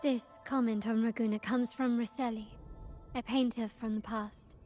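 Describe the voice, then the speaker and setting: A woman playing a poised, cultured and enigmatic young lady in a video game, speaking formally and with measured eloquence. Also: on the high side, fairly even intonation, dark tone, faint music behind, natural voice. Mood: measured, musing. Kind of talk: scripted video game dialogue.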